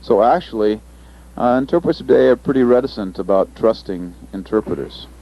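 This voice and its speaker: A man giving a presentation as an interpreter, speaking thoughtfully with pauses and fillers. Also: mid-range voice, lively intonation, breathy edge. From United States. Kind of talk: recorded panel presentation. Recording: dull lo-fi recording, faint ambience.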